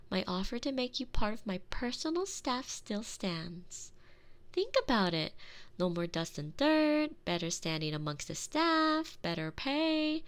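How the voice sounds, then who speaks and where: A woman playing a doting, possessive young noblewoman in a scripted roleplay, spoiled and insistent, speaking in a gushing, coaxing way straight to the listener. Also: mid-range voice. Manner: gushing, coaxing, expressive and intimate.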